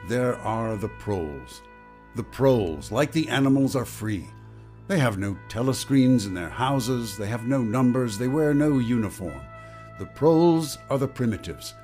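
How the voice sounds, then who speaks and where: An actor playing a grave, knowing voice in a radio drama, speaking deliberately and theatrically. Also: male voice, mid-range voice, a little mellow, lightly acted. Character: grave narrator and needling, knowing inner voice. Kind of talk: dramatic radio play narration and acting.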